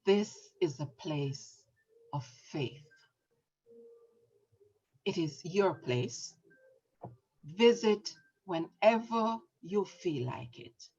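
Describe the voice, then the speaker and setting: Gentle divine inner voice, soft and reassuring, voiced by a woman, low and slow. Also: lively intonation, slightly rough, heavily acted. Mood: welcoming. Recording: dull lo-fi recording.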